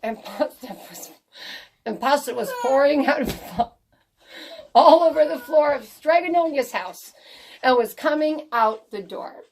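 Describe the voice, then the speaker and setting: A woman reading a children's story aloud in an expressive storytelling style. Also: high-pitched.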